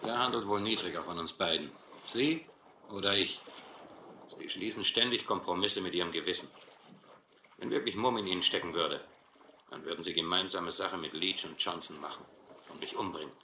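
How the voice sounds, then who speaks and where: A man's voice: low-pitched, moderate pace, bright, forceful tone, full character voice. A man playing a brutal, cynical sea captain in a German radio play, taunting and challenging. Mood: scornful, taunting. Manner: mocking, challenging. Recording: roomy, faintly noisy old radio play.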